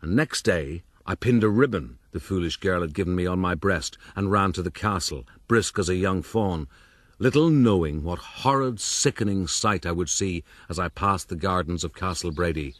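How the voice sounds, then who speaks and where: An actor playing an older gentleman who looks back wryly on his youth in florid, formal radio drama narration. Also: sits low, animated, normal speed, no room sound, studio quality. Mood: wry reminiscing. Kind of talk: first-person period radio drama narration.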